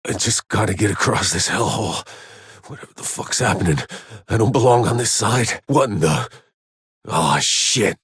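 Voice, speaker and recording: A man playing a gruff, sardonic, battle-worn soldier in a sci-fi video game, with a gritty, husky voice and a terse, dry delivery. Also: on the low side, expressive, moderate pace, a bit bright, dry recording, exaggerated voice. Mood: angry, bitter.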